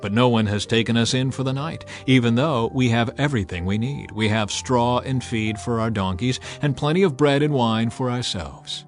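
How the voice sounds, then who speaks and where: A man's voice: mid-range voice, moderate pace, few pauses, lightly acted. A man voicing a determined, plain-spoken traveler, firm and matter-of-fact, in a dramatized scripture reading. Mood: explaining. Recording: music bed under the voice.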